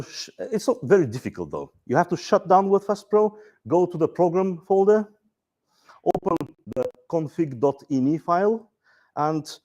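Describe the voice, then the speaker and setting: A man with a Bulgarian accent giving a conference presentation on software features, relaxed and dryly humorous, with frequent fillers and restarts. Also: fairly high pitch.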